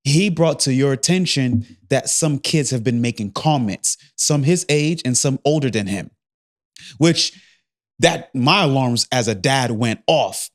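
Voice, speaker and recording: A man's voice: mid-range voice, animated, measured pace. Guy in his 30s co-hosting, playful and rambly, keeps hunting for words. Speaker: podcast co-host. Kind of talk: casual chat.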